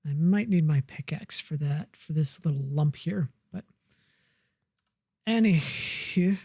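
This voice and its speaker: A woman's voice: low voice, slow pace, creaky, mellow, husky, no room sound, studio quality. A woman casually talking through a survival game as she builds, muttering half to herself. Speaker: gamer. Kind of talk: casual let's-play commentary.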